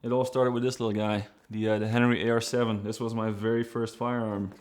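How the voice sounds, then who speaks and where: A man from Belgium, an engineer, telling his own story in a relaxed, reflective way with fillers and restarts. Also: lowish voice, a little fast. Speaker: trigger design engineer. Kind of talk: documentary-style personal narration. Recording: music bed underneath. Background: guitar music.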